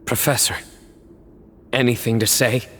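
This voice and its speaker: An actor playing a stern, perceptive young warrior in a video game, blunt and serious. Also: male voice, mid-range voice, fairly even intonation, unhurried, background noise, lightly acted. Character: stern, perceptive young male warrior, protective of his mentor.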